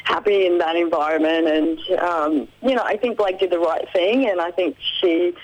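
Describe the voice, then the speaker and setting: Deep-voiced giggly woman. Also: moderate pace, a little mellow, lo-fi sound. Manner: chatty, giggly, many ums. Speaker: reality show contestant guest. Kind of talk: radio interview chat.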